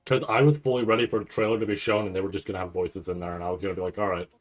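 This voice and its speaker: A man doing casual livestream reaction commentary, rambling and stammering over repeated words with a nervous, excitable manner. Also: low voice, rapid-fire, soft background music. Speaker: streamer reacting to a live anime event.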